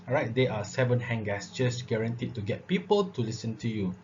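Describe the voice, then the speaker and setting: A man gives a public speaking lesson, his phrasing broken up by "uh" and a steady "all right". His voice sits at an ordinary middle pitch. The recording is dull and lo-fi, with a faint hiss underneath. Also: fairly quick. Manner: filler-heavy, repeats "all right" and "okay". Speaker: lecturer. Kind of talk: classroom lecture on public speaking.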